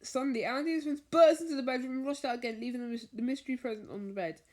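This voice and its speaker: A woman reading a children's bedtime story aloud, haltingly, stumbling over and repeating words as she goes. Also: sits high, a little mellow. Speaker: storybook reader.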